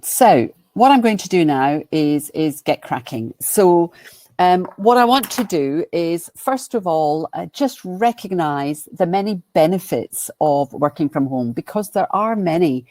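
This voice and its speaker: A woman's voice: lowish voice, lively intonation, unhurried, a little mellow, no room sound. A woman from Scotland with a Glasgow accent, presenting a webinar as a business coach in a friendly, chatty way with frequent ums and restarts. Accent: Glaswegian Scottish. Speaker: guest speaker and business coach.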